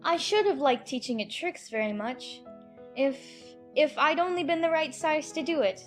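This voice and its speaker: A woman's voice: sits high, moderate pace, faint music behind, natural voice. A woman playing an earnest, puzzled little girl in a dramatized audiobook, with a polite, hesitant, musing manner. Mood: musing, anxious. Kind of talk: dramatized audiobook character acting.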